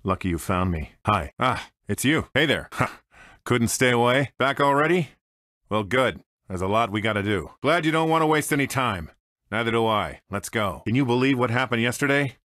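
A man's voice: mid-range voice, lively intonation, normal speed, a bit bright, no room sound, studio quality, lightly acted. A man playing a brash, wry smuggler pilot with an American accent and a casual, wisecracking manner, in short video game lines. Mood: cocky, casual. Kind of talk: video game character voice clips.

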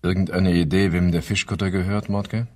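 An actor playing a businesslike, questioning police inspector in a German crime radio play. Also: male voice, low voice, fairly even intonation, brisk, a little mellow, lightly acted.

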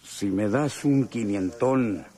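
A man's voice: lowish voice, slow, dark tone. A Mexican man acting a chummy, sly, hardened convict in a radio drama, talking in slangy, hesitant phrases. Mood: sly, confiding. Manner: slangy, hesitant drawl with knowing chuckles.

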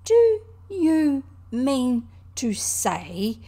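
Woman voicing an excitable, rough-edged male rat. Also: medium pitch, normal speed. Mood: exasperated, urgent. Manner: emphatic, urgent. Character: excitable male rat, kindly but quickly exasperated. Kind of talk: animated storybook character reading.

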